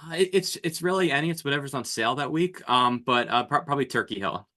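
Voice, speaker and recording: Man, twenties, podcast guest, rapid, hesitant, bright tone. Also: mid-range voice. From New Jersey. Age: 20s. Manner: hesitant, many fillers and restarts. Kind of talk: casual chat.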